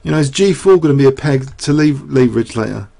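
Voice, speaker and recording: A man's voice: medium pitch, lively intonation, fast, gravelly, mellow. A man analyzing a chess game aloud, losing his voice, rambling through rhetorical questions with many fillers. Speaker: chess commentator.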